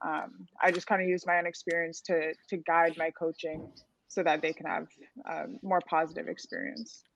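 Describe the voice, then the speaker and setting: A woman's voice: sits low, little pitch movement, normal speed, vocal fry. A woman who coaches athletes, speaking steadily on a panel. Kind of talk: casual panel talk. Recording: muffled with faint hiss.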